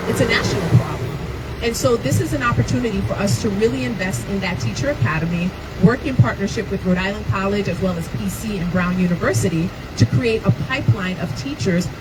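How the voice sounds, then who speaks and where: A woman whose first language was not English, a mayoral candidate, answering press questions earnestly, with many fillers and restarts. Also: medium pitch. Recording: loud noise, far from the mic.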